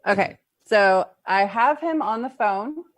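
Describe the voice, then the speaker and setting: A woman's voice: medium pitch, expressive, measured pace, dry recording. A woman working as staff at a public board meeting, reading out items and talking informally through procedure. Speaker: city zoning board staff member.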